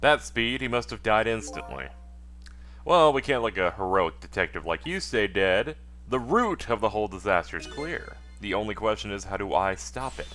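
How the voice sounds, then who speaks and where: Man acting male ghost, wry, cool-headed, low voice, game dialogue, music behind. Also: very animated, moderate pace, a bit bright. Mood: thoughtful. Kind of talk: video game character dub in a let's play.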